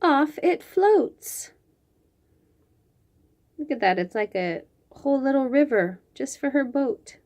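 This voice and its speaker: A woman with a fairly high voice hosts a read-aloud for small children. She takes her time, stretching out the lines of the picture book with very lively intonation, then adds chatty comments of her own. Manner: expressive, drawn-out reading with chatty asides.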